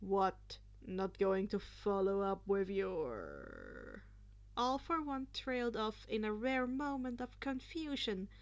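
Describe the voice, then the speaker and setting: A woman narrating fan fiction in an expressive storytelling style. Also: on the high side.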